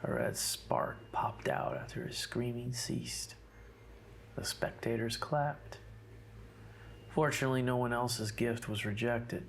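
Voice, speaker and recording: A man playing a guarded investigator who infiltrated a cult, narrating his account in a measured, somber, diary-like way. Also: medium pitch, fairly even intonation, vocal fry, a little hiss or hum. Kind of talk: scripted horror narration, diary-like. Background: music.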